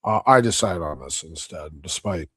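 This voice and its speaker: A man giving casual livestream commentary on a debate, blunt, combative and profane, his voice slightly hoarse. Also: low voice, animated, moderate pace, a bit bright, dry recording, clean studio sound. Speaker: debate reviewer streaming live.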